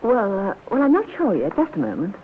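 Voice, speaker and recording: A fretful, genteel English lady in a vintage radio play. Her voice swings widely in pitch as she worries and insists. The old recording is tinny, with clear hiss. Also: wildly swooping pitch, fairly quick. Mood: uneasy. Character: anxious, insistent, well-bred woman hotel guest. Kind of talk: 1950s radio drama acting.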